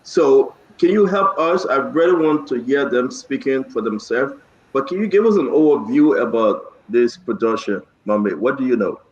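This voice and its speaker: A man's voice: mid-range voice, lively intonation, moderate pace. Man hosting live talk, roomy echo. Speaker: talk show host. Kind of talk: live call-in talk show hosting, conversational. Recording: roomy echo, dull lo-fi sound.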